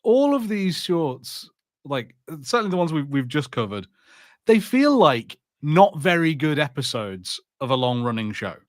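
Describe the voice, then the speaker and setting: A man's voice: on the high side, big pitch swings, unhurried, no echo, studio quality. A man co-hosting a podcast, giving his take in casual chat with a blunt, dismissive, informal manner. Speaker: podcast co-host.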